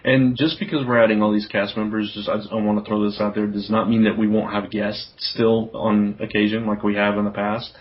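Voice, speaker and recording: A man's voice: fairly low pitch, level tone, brisk, few pauses. A man hosting a podcast in casual chat, talking in an informal, rambling way. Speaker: podcast host. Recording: dull, lo-fi podcast audio.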